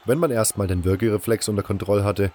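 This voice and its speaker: A man playing a fantasy convict who recounts camp life in measured, matter-of-fact first-person audio drama narration. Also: lowish voice, a loud crowd, natural voice. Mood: matter-of-fact recollection.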